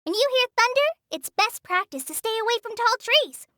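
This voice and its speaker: A woman's voice: very high-pitched, animated, brisk, pushed and bright, no echo, clean studio sound, full character voice. A woman voicing a shy young female forest ranger apprentice in a game, talking hesitantly with sighs and apologies. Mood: gentle. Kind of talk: game character voice lines. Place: studio booth.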